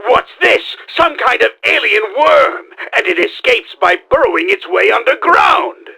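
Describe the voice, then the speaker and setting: An actor playing a pompous, scheming mad-scientist villain in a video game, with a theatrical, emphatic delivery. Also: male voice, very high-pitched, sing-song, unhurried, creaky, noisy background, heavily acted. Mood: alarmed, urgent. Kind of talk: video game character acting.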